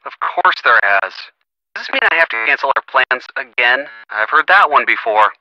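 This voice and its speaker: An actor playing an earnest, gently sardonic young American boyfriend in a video game, talking conversationally and expressively with a youthful voice. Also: male voice, medium pitch, very animated, normal speed, highs cut off. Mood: hurt, sarcastic. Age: young adult. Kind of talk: video game phone-call dialogue.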